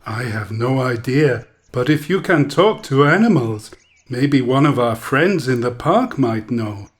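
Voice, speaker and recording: A woman voicing a gentle, tender homeless man in a storybook, speaking in a soft-hearted way. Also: a bass voice, lively intonation, unhurried, voice pushed down. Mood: calm, thoughtful. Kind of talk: storybook character voice.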